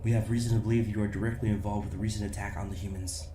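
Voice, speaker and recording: Man to play security agent, sci-fi audio drama: low, businesslike, determined, echoing sound. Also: flat delivery, dark tone, far from the mic, a little hiss or hum, natural voice. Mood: grimly determined. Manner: measured, businesslike, dryly pointed. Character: steady, determined male security agent making an arrest. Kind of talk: scripted science fiction audio drama. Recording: roomy echo, music underneath.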